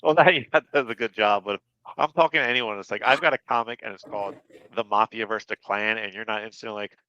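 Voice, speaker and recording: A man with a low, bright, smooth voice that sounds a little nasal from a head cold. He talks about his work in an easygoing, enthusiastic way. The remote call audio is dry and slightly dull. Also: quick pace, no echo. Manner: easygoing, enthusiastic, laughs often. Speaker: comic book writer guest. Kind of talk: casual podcast interview.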